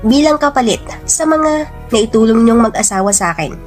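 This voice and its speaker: A woman's voice: a little high, lively intonation, moderate pace, soft background music, close to an everyday voice. Woman voicing an earnest, insistent Filipino male friend. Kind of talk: radio-style drama dialogue.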